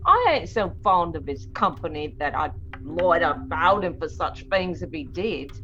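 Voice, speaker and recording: Female actor as brazen working-class scavenger: scornful, very lively intonation, slightly dull audio-drama sound with faint noise. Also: on the low side. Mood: scornful, boasting. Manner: brash, scornful, drawn-out emphasis. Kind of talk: theatrical audio-play acting.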